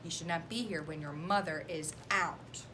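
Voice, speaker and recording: A woman's voice: sits low, moderate pace, background noise, voice pushed down, natural voice. A woman voicing a fussy, indignant little male pet fish in a children's storybook, scolding and protesting emphatically. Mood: alarmed scolding. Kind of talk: children's storybook read-aloud.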